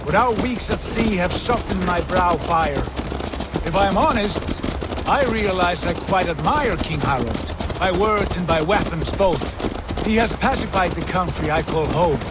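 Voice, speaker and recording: A proud Norse warrior speaks. His phrasing is measured and declamatory, as in a game drama, under loud clattering outdoor ambience. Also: sits high, expressive, heavy background noise. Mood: measured, admiring. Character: proud, reflective Norse Viking warrior, male. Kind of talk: video game dramatic acting.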